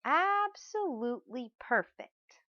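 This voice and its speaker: A woman voicing an adoring, earnest storybook father who savors each word in a measured way. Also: high voice, big pitch swings, no room sound, lo-fi sound, voice pushed up, heavily acted.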